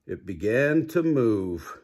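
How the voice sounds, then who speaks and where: An older man reads a picture book aloud like a playful grandfather. His voice is husky and unhurried, with very lively rises and falls. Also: on the low side. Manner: playful, chuckles at the story. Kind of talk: expressive children's read-aloud narration. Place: living room couch, indoors.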